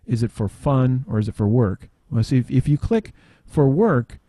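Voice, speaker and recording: Mellow-voiced man, halting and thinking aloud. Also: mid-range voice, very animated, no room sound. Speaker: podcast panelist demonstrating software. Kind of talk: informal software demo, casual talk.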